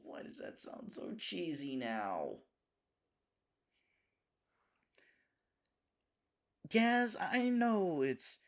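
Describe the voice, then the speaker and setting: A man's voice: medium pitch, creaky, full character voice. A man playing a devoted, goofy boyfriend nervous about proposing, rambling and chiding himself earnestly in a scripted romantic audio roleplay. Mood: flustered, sweet-talking.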